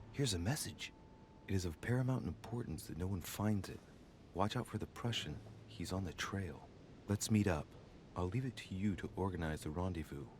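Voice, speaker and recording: An actor playing a brooding young man in a video game, investigating clues and deliberately musing aloud to himself. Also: darkish tone. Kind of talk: dramatic video game acting, reading aloud. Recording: low rumbling background noise.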